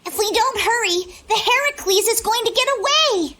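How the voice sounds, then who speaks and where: A woman's voice: very high-pitched, animated, normal speed, sharp tone, full character voice. A woman voicing an odd, earnest little girl in a video game, with music playing behind her. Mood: urgent, earnest.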